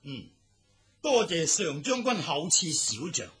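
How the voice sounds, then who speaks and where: Bright, humble wily lord. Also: male voice, fairly high pitch, big pitch swings, takes it slowly, slightly rough, exaggerated voice. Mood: humble, polite. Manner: deferential, formal. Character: humble, wily male lord excusing himself politely. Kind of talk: Chinese historical radio drama acting.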